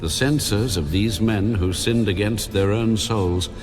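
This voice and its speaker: Casting: an almighty, commanding God, very low voice in long reverb over music. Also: male voice, fairly even intonation, measured pace. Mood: solemn, commanding. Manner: commanding, solemn. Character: God, stern, majestic, all-powerful male deity. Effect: heavy reverb. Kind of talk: dramatized scripture acting.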